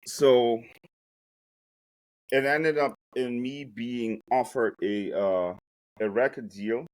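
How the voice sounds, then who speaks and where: A man's voice: mid-range voice, steady tone, takes it slowly, a little mellow, dry recording. A man in his forties from Germany, telling his life story with jokes and loose American slang as a podcast guest. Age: 40s. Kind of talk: casual storytelling.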